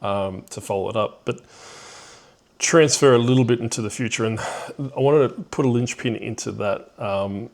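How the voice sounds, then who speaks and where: A man's voice: mid-range voice, moderate pace, gravelly. A middle-aged man telling a personal story off the cuff in a reflective, hesitant way, with rueful chuckles here and there.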